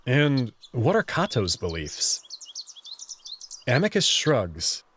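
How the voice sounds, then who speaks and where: A man's voice: medium pitch, huge pitch swings, slow pace, fairly bright tone, a little hiss or hum. A man reading first-person visual novel narration and dialogue as the story's protagonist.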